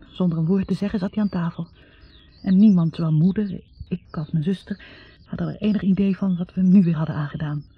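Young intellectual woman, very breathy husky voice, bitter and conflicted, halting confessions, 1960s radio play, muffled recording. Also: lowish voice, steady tone, faint music behind. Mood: bitter, hurt. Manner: halting, confessional, repeating words. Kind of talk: 1960s radio drama acting.

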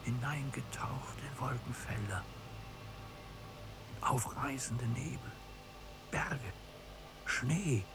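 An actor playing an old, nearly blind prisoner in a radio drama, lost in dreamy, withdrawn monologue. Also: male voice. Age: older. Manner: slow, hushed, dreamy reverie. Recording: noisy recording with low rumble.

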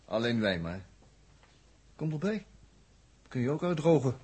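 Husky, wry, animated space traveller. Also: male voice, mid-range voice, measured pace, heavily acted. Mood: wry, teasing. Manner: sardonic, teasing, casual. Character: wry, defiant male space traveller from Earth, a prisoner. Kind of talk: 1960s Dutch radio drama acting. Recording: old 1960s radio recording.

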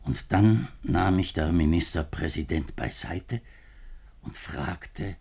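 Deep, husky, troubled government minister. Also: male voice, slow, a little mellow, exaggerated voice. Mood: grave, explanatory. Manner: hesitant, confiding, formal. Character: troubled male French government minister, reluctant politician, earnest. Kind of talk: 1950s German radio drama acting.

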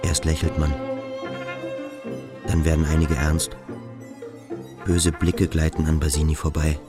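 Very low-voiced, defiant schoolboy. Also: male voice, flat delivery, unhurried, music in the background. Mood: quiet, grave. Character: defiant, disgusted, coolly reflective teenage boarding-school boy. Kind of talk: German radio play acting.

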